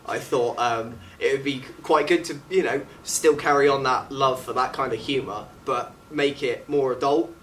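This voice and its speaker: A man's voice: medium pitch, moderate pace, hardly any pauses, fairly bright tone. A man, a student actor chatting casually in an interview. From England. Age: late teens. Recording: distant mic with faint background noise.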